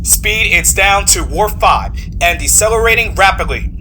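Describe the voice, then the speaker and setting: An actor playing a crisp starship bridge lieutenant in an audio drama, giving formal reports. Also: medium pitch, expressive, normal speed, over music, natural voice, low-quality recording. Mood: alert.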